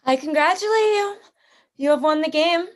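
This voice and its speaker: An actress playing a genteel, cold-blooded general who hunts for sport, speaking with theatrical, smug courtesy in a radio drama. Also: female voice, very high-pitched, expressive, normal speed. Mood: courteous menace. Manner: theatrical, leisurely, smugly courteous.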